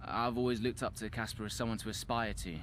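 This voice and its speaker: An actor playing an earnest, modest young rookie racing driver with a hesitant way of answering. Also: male voice, on the low side, fairly even intonation, a bit bright, a little hiss or hum, close to an everyday voice. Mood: awed, modest. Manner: hesitant, halting interview answers.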